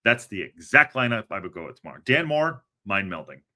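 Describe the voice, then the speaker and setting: A man hosting a football podcast, talking in an animated, rambling way with a lot of restarts. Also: on the low side, fairly quick. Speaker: podcast host. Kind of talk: casual chat.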